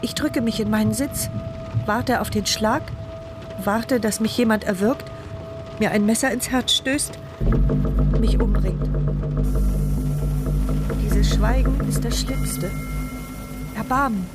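A woman's voice: on the high side, slow pace, pauses often. An actress playing an anguished, introspective woman who narrates her thoughts in short phrases in a radio play. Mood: terrified. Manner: introspective, speaks in short present-tense thoughts. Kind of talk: dramatic first-person radio play monologue. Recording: studio radio play with background music.

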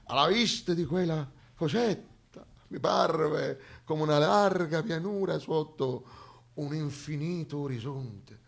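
A man's voice: on the high side, takes it slowly, gravelly, voice pushed up. Man playing a pompous, exalted old-time scientist. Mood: grandiose exaltation. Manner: grandiose, declamatory. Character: pompous 19th-century male scientist, exalted by his own discovery. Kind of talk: mock-solemn stage quotation.

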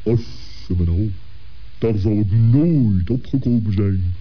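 A man's voice: fairly low pitch, slow pace. A man playing a pompous, well-meaning owl in a children's radio play, with wordy, muddled phrasing. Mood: muddled, surprised. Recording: dull 1960s radio play recording.

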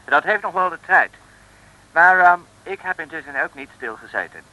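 Bright, chirpy English gentleman agent. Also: a little high, animated, normal speed, lo-fi sound. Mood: pleased, confiding. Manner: courteous, exclamatory, mixes English into Dutch. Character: chirpy, polite English secret service agent. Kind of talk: 1960s radio serial acting. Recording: old radio recording.

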